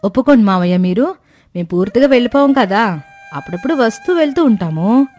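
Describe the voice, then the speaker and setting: A woman's voice: a little high, lively intonation, a little fast, a little mellow, faint music behind, lightly acted. A woman voicing a haughty, educated young daughter-in-law in a cartoon moral story. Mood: persuasive.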